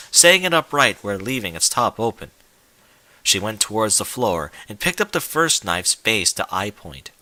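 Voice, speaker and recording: A man's voice: on the low side, sharp tone, no room sound. A man reading a scripted story aloud as a dramatic narrator, with an emphatic, theatrical delivery. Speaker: fan fiction narrator.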